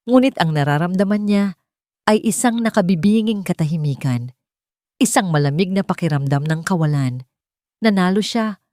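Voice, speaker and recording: A woman with a Filipino accent narrating a scripted love drama in a measured, dramatic way. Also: lowish voice, expressive, a little mellow, no echo, clean studio sound. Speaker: storyteller.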